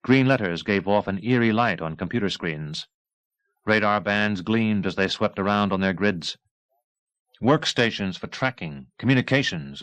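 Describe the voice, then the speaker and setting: A man's voice: fairly low pitch, fairly bright tone, no echo. A man reading a novel aloud as a scripted audiobook narration. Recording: 1980s cassette audiobook.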